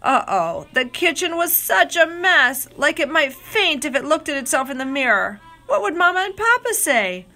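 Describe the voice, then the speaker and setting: A bright, high female voice reads a children's storybook aloud. Her delivery swings widely in pitch and stretches phrases for drama. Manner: expressive, drawn-out dramatic phrasing. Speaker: storybook reader. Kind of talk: animated read-aloud of children's picture book.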